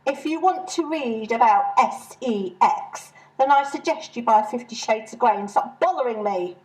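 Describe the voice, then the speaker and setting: A woman reader plays an exasperated chairwoman from a humorous storybook. She is bossy and snappish, and her voice rises high as she orders her club ladies about. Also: animated, moderate pace, a little mellow, close to an everyday voice, poor audio.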